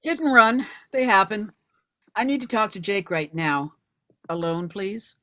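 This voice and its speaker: A woman's voice: mid-range voice, expressive, measured pace, fairly bright tone. A woman in a screenplay table read, playing a stubborn, terse widowed mother turned amateur investigator. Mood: curt, shaken.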